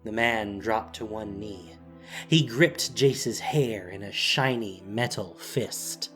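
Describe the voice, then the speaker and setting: A man's voice: lowish voice, takes it slowly, a bit bright, faint music behind. A man reading scripted fantasy narration in a dramatic storytelling style, as the narrator of an audio drama.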